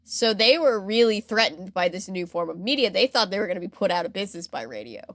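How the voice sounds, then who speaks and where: Podcast host: American woman, conversational storyteller with mid-range pitch, acts out quotes, very dry studio sound. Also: fast talker, no room sound. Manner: animated retelling with mock quotes and filler "like". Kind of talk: casual explanatory storytelling chat.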